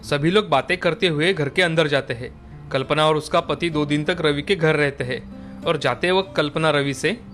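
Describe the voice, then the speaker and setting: A man with a slightly high voice narrates a scripted moral story for a children's cartoon. Soft music plays faintly behind him as he tells the tale. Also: moderate pace.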